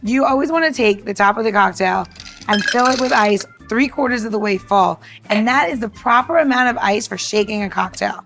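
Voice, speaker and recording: A woman's voice: fairly high pitch, brisk, fairly bright tone, faint music behind. A woman from the United States co-hosting a podcast with casual, chatty, blunt banter and practical how-to tips. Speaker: podcast co-host.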